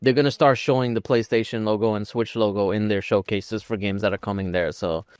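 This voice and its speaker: A man's voice: lowish voice, fairly even intonation, brisk, smooth, dry recording, studio quality. A man co-hosting a casual gaming podcast, talking in a rambling, opinionated way peppered with "like". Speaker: podcast co-host.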